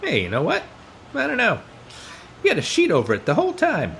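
An actor playing a brusque, slangy working-class catering worker in a parody of old-time radio drama. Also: male voice, high voice, wildly swooping pitch, fairly quick, vocal fry, a bit bright, a little hiss or hum, heavily acted. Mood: offhand. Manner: blunt, slangy, folksy. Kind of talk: parody 1940s radio acting.

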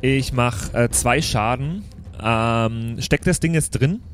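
A man's voice: mid-range voice, slow pace, a little hiss or hum. A man playing a tabletop game in casual improvised chat, speaking hesitantly and often checking what he may do.

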